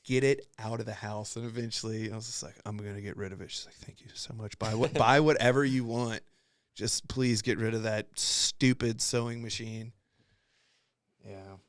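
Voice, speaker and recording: A man's voice: fairly low pitch, steady tone, normal speed, no echo, studio quality. A young man hosting a podcast, telling rambling, profane stories in casual chat and acting out what others said. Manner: profane, rambling storyteller who acts out quotes. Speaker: podcast host.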